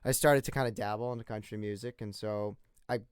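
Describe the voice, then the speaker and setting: A man's voice: on the low side, fairly even intonation, fairly quick, clear, resonant tone, no room sound. A man in his twenties, a singer-songwriter guest from the northeastern US, telling his story in a rambling, casual way with many restarts. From northeastern United States. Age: 20s. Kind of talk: casual interview chat.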